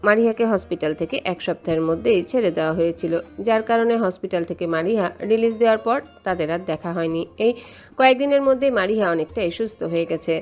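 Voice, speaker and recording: A woman's voice: medium pitch, quick pace, darkish tone, faint music behind. A woman reading scripted romantic story narration as the narrator.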